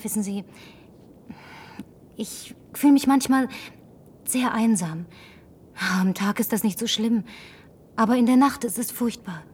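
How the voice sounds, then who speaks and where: A brash, teasing survivor. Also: female voice, fairly high pitch, measured pace, long pauses, husky, far from the mic. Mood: wistful. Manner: teasing, sharp-tongued. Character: brash lonely young woman, last survivor. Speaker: Linda, survivor driving a car. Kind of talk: German film dub.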